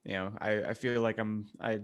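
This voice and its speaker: A man's voice: on the low side, little pitch movement, moderate pace, clear, resonant tone. A man co-hosting a podcast in casual, joking conversation. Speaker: podcast co-host.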